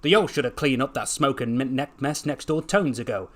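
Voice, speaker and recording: A man voicing a gruff, wary creature shopkeeper in a story, with a folksy, guarded manner and a Scottish accent. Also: mid-range voice, expressive, fairly quick, voice pushed up, close to an everyday voice. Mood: defensive, grumbling.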